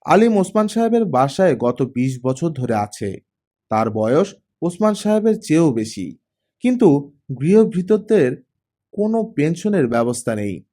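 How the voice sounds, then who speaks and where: Male storyteller for dramatic audiobook fiction, dark mellow tone, animated melody. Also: fairly high pitch, a little slow. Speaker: audiobook narrator. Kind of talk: dramatic scripted story narration.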